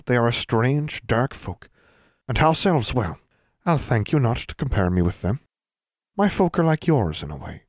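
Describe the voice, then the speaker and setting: A man's voice: on the low side, darkish tone, no room sound, studio quality, pitched above the performer's own voice, natural voice. A man voicing a crafty, proud old male hill elf in an audiobook, with formal, old-fashioned phrasing. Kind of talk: audiobook character voice.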